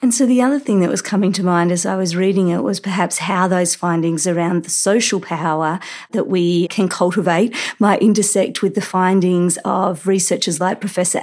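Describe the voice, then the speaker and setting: A woman hosting an interview, asking measured, articulate questions. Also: lowish voice, a little mellow. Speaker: interview host.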